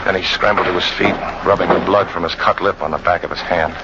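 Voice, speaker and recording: An actor playing a tough, brooding jungle guide who narrates an old-time radio drama in colloquial first person, full of hatred. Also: male voice, deep voice, steady tone, quick pace, close to an everyday voice. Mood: grim. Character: bitter hard-boiled jungle hunting guide, fugitive, confessing his hatred. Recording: muffled, noisy old radio recording.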